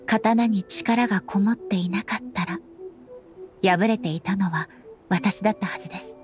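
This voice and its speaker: Earnest regal anime game lady ruler, voiced by an actress, measured polite speech, a bit high, music under it. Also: close to an everyday voice. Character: solemn, dignified immortal female ruler, composed and earnest. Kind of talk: scripted anime game dialogue.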